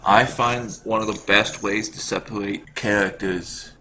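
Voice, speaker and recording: A man's voice: lowish voice, steady tone, unhurried, sharp tone, poor audio. A man hosting a podcast in a casual, conversational style. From Canada. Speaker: podcast host. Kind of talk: casual chat. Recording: slight background noise.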